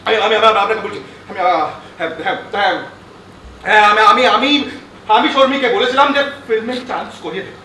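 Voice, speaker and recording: Male movie star, proud and short-tempered, played by a stage actor, bright projected voice, echoing distant theatre sound. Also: high voice, brisk. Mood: defensive, flustered. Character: self-assured male film star, quick to anger and bluster. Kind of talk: projected stage drama acting. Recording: echoey theatre hall, distant mic, faint noise.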